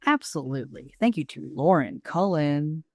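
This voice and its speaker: A woman's voice: sits low, lively intonation, moderate pace, a little mellow, dry recording, clean studio sound. An American woman co-hosting a podcast in casual, comedic chat with an irreverent, slangy way of talking. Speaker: podcast co-host.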